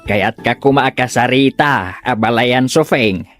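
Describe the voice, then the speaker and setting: A man's voice: mid-range voice, unhurried, music in the background, lightly acted. A man playing an earnest, doting young husband who keeps the peace in a comedy audio drama. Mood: pleading. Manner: expressive, earnest.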